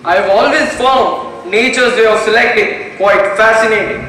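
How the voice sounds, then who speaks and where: A teenage boy playing a cold, calculating judge, proud of his murders, in a measured confessional monologue on stage. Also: very high voice, pushed and bright, slight background noise. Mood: musing. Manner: measured, deliberate, self-satisfied. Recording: echoey hall, far mic, music behind.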